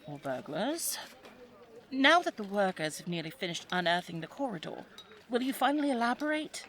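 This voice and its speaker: An actress playing an inquisitive, dutiful, wry young daughter of a 1920s English archaeologist in an audio drama, with a polite, articulate, dry delivery. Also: female voice, medium pitch, sing-song, moderate pace, a bit bright. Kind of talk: scripted audio drama acting.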